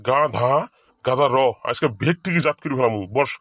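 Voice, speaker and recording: A man's voice: mid-range voice, moderate pace, noisy background, lightly acted. A man playing a stern, exasperated village schoolmaster in a comedy cartoon, with a scolding, hectoring manner. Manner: scolding, hectoring, mixing English words into Bengali.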